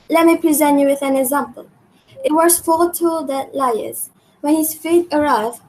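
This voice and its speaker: A woman's voice: high-pitched, a little mellow, slight background noise, close to an everyday voice, rough recording. A young woman playing an earnest, imperious queen of ancient Greece in an amateur radio drama. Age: young adult. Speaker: student actor.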